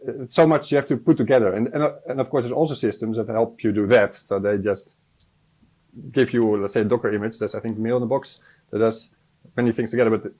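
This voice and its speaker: A man's voice: quick pace, dark tone, poor audio. Hesitant man on a video call. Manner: hesitant, many fillers, low-key. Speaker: guest, software developer. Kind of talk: casual interview answers. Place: home room, indoors. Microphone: earbud headset on video call.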